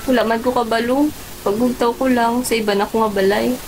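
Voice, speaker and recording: A woman's voice: fairly high pitch, fairly even intonation, fairly quick, darkish tone, a little hiss or hum, lightly acted, poor audio. A woman acting a betrayed, emotional young woman with a Filipino accent in an amateur student radio drama. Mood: subdued, sad. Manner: emotional, exclaiming. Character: betrayed, anguished young woman named Tricia, tearful and indignant.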